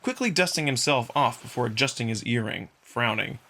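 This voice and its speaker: A man's voice: mid-range voice, animated, fairly quick, pushed and bright. A man narrating a visual novel in the first person, reading from a script. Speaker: narrator and performer.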